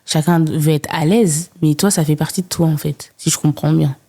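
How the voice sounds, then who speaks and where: A woman's voice: low-pitched, fairly even intonation, moderate pace, dark tone, slightly rough. A woman hosting a podcast, speaking in an informal, conversational interview style. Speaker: podcast host.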